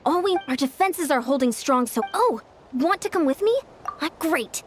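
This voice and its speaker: A woman playing a brisk, friendly young female patrol comrade in a video game, speaking matter-of-factly. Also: high voice, animated, a little hiss or hum.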